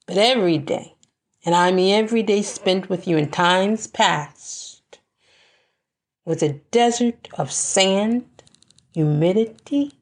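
A woman delivering a scripted spoken-word monologue in a deliberate, measured way, with pauses between phrases. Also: medium pitch. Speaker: podcast host.

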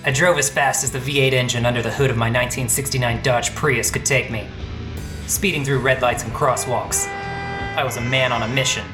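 A man playing a weary, melodramatic police detective in a parody noir radio play, wordy and deadpan. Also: mid-range voice, a little fast, reverberant, music in the background, natural voice. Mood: self-important.

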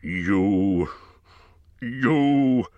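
A proud, wrathful dwarf king with a deep, low voice that drags out each stern word, as a man reads him aloud. He speaks slowly and forcefully, and the sound is dry and close. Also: dry recording, phone-quality sound. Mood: furious. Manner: slow, stern, forceful delivery.

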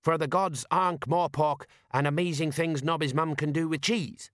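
A man's voice: a little high, fairly even intonation, moderate pace, fairly bright tone, no room sound, studio quality, pitched above the performer's own voice, lightly acted. A man voicing a reluctant older sergeant, blustering and grumbling as he goes. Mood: sarcastic.

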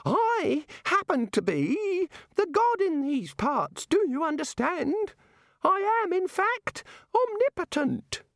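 A small, flustered old god with a high, quavering voice, as a man puts him on in a storybook reading. His speech is slow, drawn out and pompous, and it sinks into gloom. The sound is close and dry. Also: very animated, fairly bright tone, no echo, full character voice. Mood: excited.

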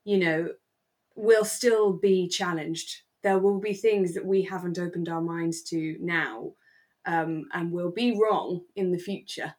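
A woman's voice: fairly low pitch, slow, a little mellow. A woman with a British accent chatting casually and reflectively as a podcast co-host. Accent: British English. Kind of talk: casual chat, discussing a radio drama.

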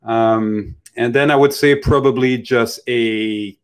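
A man giving casual, unscripted expert answers in a Q&A, thinking them through with hesitant pauses. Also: medium pitch, fairly even intonation, clear, resonant tone. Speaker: animation expert answering questions.

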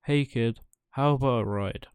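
A man's voice: medium pitch, normal speed, darkish tone, clean tone, no room sound, natural voice. A man playing a sly coachman who coaxes children in a persuasive, slick way, as a storybook villain. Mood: scheming. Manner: coaxing, persuasive.

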